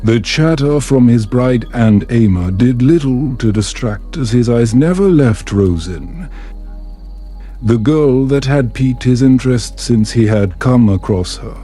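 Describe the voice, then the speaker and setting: A man reads a bedtime tale at an unhurried pace, his tone soft-edged and mellow. The delivery is calm, soothing and measured, like scripted storytelling meant for sleep, with music playing underneath. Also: medium pitch. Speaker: storyteller narrator.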